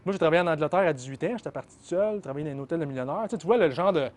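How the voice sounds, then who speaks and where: A man's voice: fairly high pitch, expressive, very fast. A man with a Québécois French accent hosting a casual interview, chatty and often finishing his guest's sentences. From Quebec. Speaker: podcast host.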